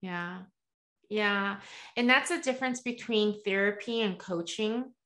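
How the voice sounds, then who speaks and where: A woman's voice: mid-range voice, unhurried. Woman guest, forties, earnest, chatty asides, webcam audio from a home room. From born in the US, partly raised in Taiwan. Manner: earnest, animated hand gestures, frequent "right?" asides. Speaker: guest coach. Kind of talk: candid interview storytelling. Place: home room with shelves, video call.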